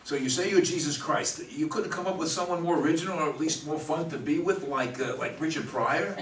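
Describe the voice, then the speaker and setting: An actor in a stage play reading, playing a sarcastic, hungover man in his fifties with a halting, wisecracking delivery, recorded roomy and far from the mic. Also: a little high, very animated, fairly bright tone. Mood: sardonic. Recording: roomy, distant mic, faint noise.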